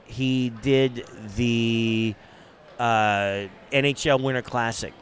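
A man's voice: mid-range voice, fairly even intonation, takes it slowly. A man co-hosting a casual podcast chat in a hotel bar, rambling along with lots of hesitation. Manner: rambling, hesitant with fillers and restarts. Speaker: podcast co-host.

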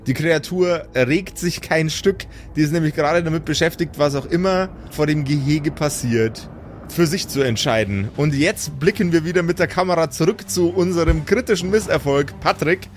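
A man with a slightly high voice runs a tabletop role-playing game as its narrator. He describes scenes in detail, leaning on repetition for emphasis, while soft music plays underneath. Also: moderate pace. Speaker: game master.

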